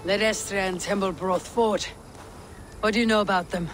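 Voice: medium pitch, steady tone, moderate pace, a bit bright, soft background music, lightly acted. An actor playing a blunt, hard-bargaining Viking warrior in a video game, terse and menacing. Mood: businesslike. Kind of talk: video game cutscene acting.

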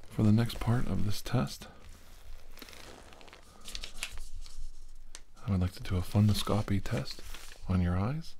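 A man playing a probing, insistent doctor in an ASMR exam roleplay, soft-spoken and deliberate as he gives instructions. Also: on the low side. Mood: calm, professional. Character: probing, insistent male doctor examining a nervous patient. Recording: clear background hiss and noise.

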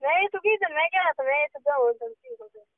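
A woman's voice: very high-pitched, animated, normal speed, gravelly, dry recording. A woman with a Rajasthani accent giving brief, terse replies in a casual phone conversation. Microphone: telephone. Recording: tinny, narrow phone call recording.

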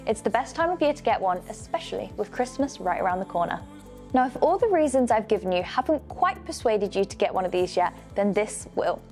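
A young woman presents a house tour in a polished, upbeat way. Her voice sits at an average pitch. Light music plays softly underneath. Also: sing-song, fairly quick. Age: young adult. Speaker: presenter of a luxury home tour show. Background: faint music.

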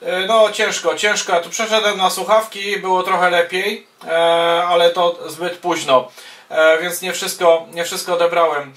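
A man with a fairly high voice works as an amateur radio operator, giving procedural calls and casual commentary. His phrasing can be hesitant. He sounds set back from the microphone in an echoey little room. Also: level tone, normal speed, fairly bright tone. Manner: procedural, spells callsigns in phonetic words, hesitant between phrases. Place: small wood-panelled radio room indoors. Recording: roomy, distant microphone sound.

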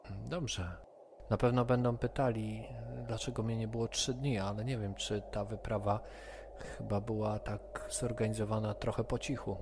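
Guarded, evasive young woman voiced by a man, low-pitched, far from a noisy mic. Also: fairly even intonation, moderate pace, natural voice. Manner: hesitant, evasive. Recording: distant mic with noise.

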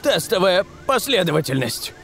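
Fretful, eccentric old scientist. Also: male voice, sits high, big pitch swings, normal speed, gravelly, over music, exaggerated voice. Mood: fretful, tender. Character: fretful eccentric old male scientist, devoted to his gadgets.

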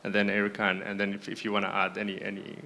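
A man in his thirties from Canada moderates a conference panel. His voice is bright and forward, and he talks informally, often repeating himself. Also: on the low side, monotone, racing, gravelly. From grew up in Montreal, Canada. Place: indoor conference stage. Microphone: handheld microphone.

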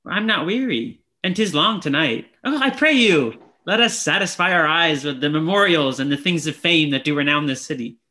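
A young man playing a grateful, eager gentleman traveller, earnest and polite, in a Shakespeare comedy reading. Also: fairly high pitch, lively intonation, normal speed, hardly any pauses. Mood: eager, curious. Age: young adult. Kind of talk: Shakespeare reading over video call. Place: indoor room, video call.

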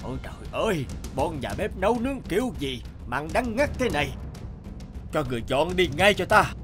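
A man voicing a gluttonous, hot-tempered fat king in an animated folk tale, imperious and blustering, with music behind. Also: on the high side, fairly quick. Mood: angry, commanding.

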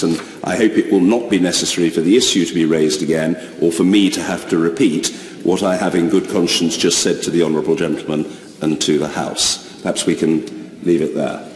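A man in his fifties, the speaker of the house, giving a formal ruling with elaborate phrasing and deliberate delivery in a large chamber. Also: low-pitched, a little mellow. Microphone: chamber microphone, seated. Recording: roomy chamber with long reverb.

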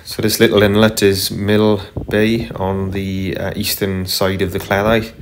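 A man narrating casually as he paddles a kayak on a river, unhurried, with frequent ums and uhs. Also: deep voice, little pitch movement, background noise. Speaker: kayaker vlogger. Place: kayak on a tidal river outdoors. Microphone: camera mounted on the kayak.

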